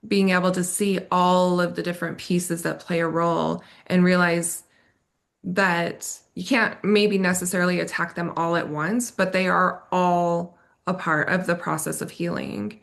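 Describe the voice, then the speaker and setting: A woman's voice: fairly low pitch, little pitch movement, measured pace, creaky. A woman interviewer in a reflective conversation, affirming and summing up what she has heard. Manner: affirming, summarizing what guest said.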